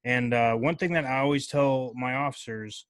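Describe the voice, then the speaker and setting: A man's voice: mid-range voice, normal speed, dry recording. A man hosting a casual podcast interview, talking loosely with plenty of filler words. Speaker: podcast host.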